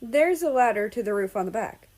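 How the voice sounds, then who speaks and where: A woman voicing a playful, excitable young man on a road trip in a story reading, casual and a little bashful. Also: on the high side, a little fast, pitched above the performer's own voice. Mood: excited.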